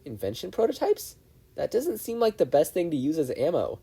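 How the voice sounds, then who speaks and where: A performer voicing a bubbly, determined teenage girl who is excitable and eager. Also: mid-range voice, moderate pace, vocal fry, husky, pitched above the performer's own voice, full character voice. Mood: cheerful.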